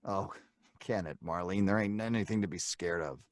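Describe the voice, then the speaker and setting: A man's voice: low-pitched, moderate pace, no echo, clean studio sound, natural voice. An actor playing a cocky, slangy robber and gang leader who tells his story in old time radio crime drama style. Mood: bossy, dismissive. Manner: slangy, hard-boiled, colloquial.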